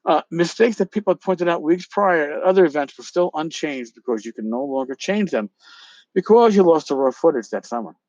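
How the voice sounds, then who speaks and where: A man's voice: fairly high pitch, lively intonation, a little fast, darkish tone, no room sound, dull audio, close to an everyday voice. A man playing a scornful, relentless accuser in a dramatic reading, sarcastic and long-winded. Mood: scornful, accusing. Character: scornful, relentless accuser lecturing a lying grifter. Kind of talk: dramatic reading of an email exchange.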